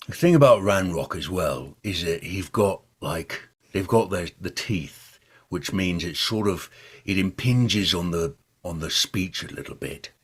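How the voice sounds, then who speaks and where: A man with a low, husky voice talks through his craft as a voice actor. His delivery is casual and conversational. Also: animated, measured pace, faint music behind. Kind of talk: casual explanation of a character voice.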